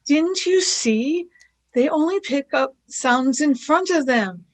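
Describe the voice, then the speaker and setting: Young female sidekick, acted by a woman, high voice, unhurried delivery, plucky and cheeky, radio drama. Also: lively intonation, low-quality recording. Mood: defiant, cheeky. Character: plucky young girl companion, cheeky and impatient. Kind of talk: radio play acting.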